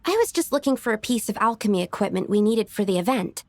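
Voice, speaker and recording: A woman's voice: medium pitch, quick pace, noisy background, natural voice. A woman voicing a meticulous young female alchemist in a video game, explaining things earnestly. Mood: earnest, relieved. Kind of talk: video game character dialogue.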